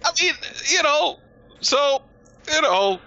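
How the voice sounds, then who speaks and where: Rambling woman in her forties, bright voice. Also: sits high, lively intonation, slow pace, dull audio. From Puerto Rico. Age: 40s. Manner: rambling, many restarts and "you know". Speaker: podcast co-host. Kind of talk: casual podcast chat.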